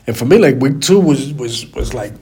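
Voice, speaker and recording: A man with a raspy, stuffy voice from a cold, talking casually and in a rambling way as a solo podcast host, repeating phrases for emphasis. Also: mid-range voice, expressive, normal speed, mellow. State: stuffy, slightly hoarse from a cold.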